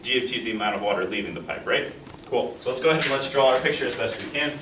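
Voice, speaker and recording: A man's voice: mid-range voice, rapid-fire. A young man teaching a math lesson to a class in a friendly, encouraging, self-deprecating way. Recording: echoey classroom from far off, noisy.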